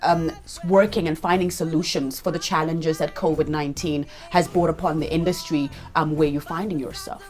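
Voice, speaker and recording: A woman hosting a radio interview, speaking in an upbeat, enthusiastic and animated way. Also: low-pitched, normal speed, few pauses, faint music behind. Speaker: radio host.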